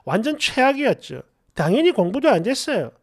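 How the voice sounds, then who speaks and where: A man's voice: very high-pitched, very animated, brisk, breathy edge, no echo, clean studio sound. A middle-aged man hosting a story channel, reading a first-person story aloud in a colloquial, exasperated, dramatized way with asides.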